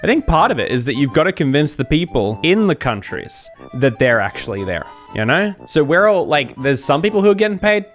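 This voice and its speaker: A man's voice: a little high, very animated, brisk, hardly any pauses, soft background music. An Australian man hosting a livestream, chatting casually and jokily with viewers in a rambling, self-interrupting way. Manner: jokey, rambling, self-interrupting, often laughing. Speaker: YouTuber and livestream host. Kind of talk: casual chat answering viewer comments.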